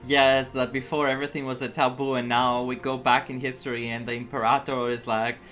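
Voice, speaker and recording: A man's voice: mid-range voice, level tone, a little fast, fairly bright tone. A man giving casual playthrough commentary, thinking aloud with joking asides. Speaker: gaming content creator. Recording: game music behind voice.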